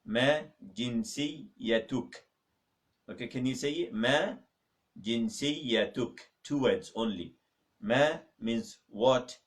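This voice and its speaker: A man's voice: medium pitch, far from the mic. A man teaching a beginner Arabic lesson in a mosque, patiently repeating each phrase and checking with "okay?". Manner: patient, repeats phrases slowly with many okays. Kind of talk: live-streamed beginner language lesson. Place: mosque classroom.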